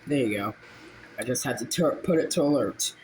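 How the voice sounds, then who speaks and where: A man giving a casual, halting show-and-tell, informal and often trailing off. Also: mid-range voice, expressive. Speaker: hobbyist video creator. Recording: noisy home video with clicks.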